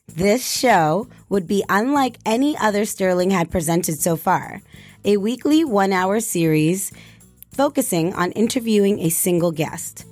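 A woman's voice: medium pitch, animated, unhurried, a little mellow, no echo. Female narrator over faint music. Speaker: documentary narrator. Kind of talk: scripted narration.

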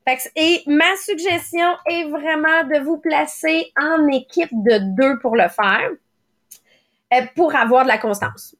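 A woman in her late thirties with a Quebec French accent hosts a motivational morning podcast. Her voice sits high, and her talk runs quick and conversational, with little quoted thoughts played out as she goes. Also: fairly bright tone. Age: late 30s. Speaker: podcast host.

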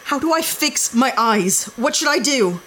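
An actress playing a sharp-tongued young woman lost in an alien forest, sarcastic and exasperated. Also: high voice, animated, a little slow, low-quality recording. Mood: frantic, exasperated. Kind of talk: audio drama acting. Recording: forest ambience noise behind.

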